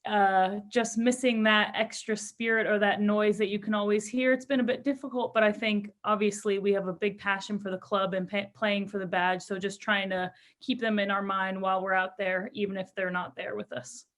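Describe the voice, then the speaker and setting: A woman's voice: medium pitch, little pitch movement, moderate pace, hardly any pauses, fairly bright tone. A young woman speaks as a footballer in an interview, with a mid-range voice. Her answers are casual and conversational, delivered in an upbeat, earnest manner. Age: young adult. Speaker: professional footballer being interviewed.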